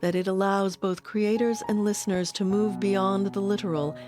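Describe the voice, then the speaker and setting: A woman's voice: medium pitch, steady tone, normal speed. A woman reading scripted narration as the narrator of a documentary. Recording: clear music bed under voice. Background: music.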